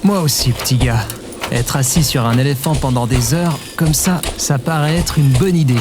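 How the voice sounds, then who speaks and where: A man's voice: mid-range voice, normal speed, over music, lightly acted. A man playing a laid-back, wry adventurer in an audio comedy, casual and a little hesitant. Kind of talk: audio fiction comedy acting. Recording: outdoor ambience, birds and insects.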